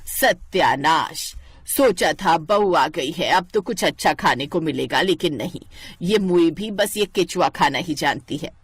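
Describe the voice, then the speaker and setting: A woman's voice: low voice, lively intonation, unhurried, breathy edge, no room sound, voice pushed down, heavily acted. A woman voicing a grumbling older aunt in a cartoon story, complaining theatrically in disgust.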